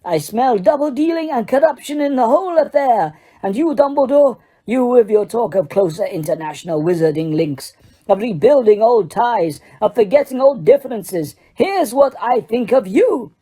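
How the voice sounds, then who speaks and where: A proud foreign headmaster, indignant and accusing, who spits out each charge, as a woman reads him. The voice is raised but keeps a mellow, soft-edged tone. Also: fairly high pitch, animated, moderate pace, pitched above the performer's own voice. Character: agitated male foreign headmaster, proud and suspicious.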